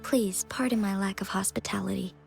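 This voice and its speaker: A woman's voice: medium pitch, fairly even intonation, a little fast, creaky, faint music behind, lightly acted. An actress playing a composed, gracious young female magistrate in a video game, speaking politely and formally.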